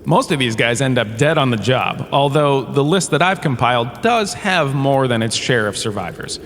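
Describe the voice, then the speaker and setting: A man's voice: mid-range voice, expressive, moderate pace, a bit bright, natural voice. A man playing a washed-up holodrama star who lectures in a comedic audio drama, chatty and wry, with theatrical storytelling. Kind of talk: comedic scripted lecture narration. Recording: long reverberant hall, faint ambient noise.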